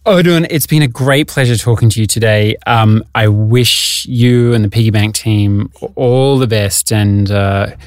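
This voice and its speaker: A man's voice: fairly low pitch, unhurried, few pauses, no room sound. Man asking interview questions, dry mic.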